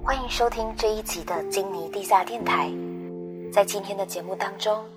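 A woman's voice: mid-range voice, normal speed. A woman delivering a scripted podcast introduction as a narrator. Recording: prominent music under the voice. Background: loud background music.

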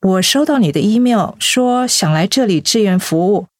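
Actress as a courteous school director, dry sound. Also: female voice, mid-range voice, lively intonation, moderate pace, no room sound, lightly acted. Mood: curious, friendly. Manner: courteous, hospitable. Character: courteous middle-aged woman director of a youth school. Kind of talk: radio drama acting.

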